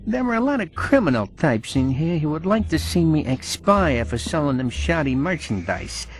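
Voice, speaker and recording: Sneaky game crook, shifty salesman, pitch jumping around, faint music. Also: sing-song, moderate pace. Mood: shifty dealing. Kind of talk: video game cutscene acting.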